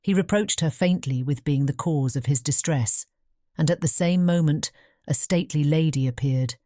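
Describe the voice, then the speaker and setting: A woman narrating a scripted fairy tale audiobook as a measured storyteller. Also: sits low, warm tone, dry recording, studio quality.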